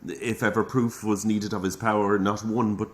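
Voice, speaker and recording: Actor as a low-voiced pious Irish priest. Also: male voice, little pitch movement, normal speed, natural voice. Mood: reverent. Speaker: actor in audio drama. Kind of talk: naturalistic audio drama acting.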